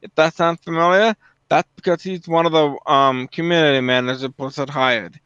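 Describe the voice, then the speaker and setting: A man with a fairly high, smooth voice talks casually and matter-of-factly about games. The recording is dull and lo-fi, and dry with little room sound. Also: fairly even intonation, moderate pace, fairly bright tone, dry recording. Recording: dull, lo-fi voice track.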